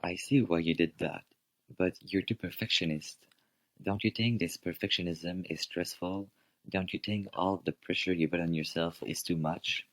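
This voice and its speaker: A young male student with a low voice argues his side in a scripted radio debate. His wording is formal and measured, and the sound is close and dry. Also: fairly even intonation, dry recording, low-quality recording. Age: young adult.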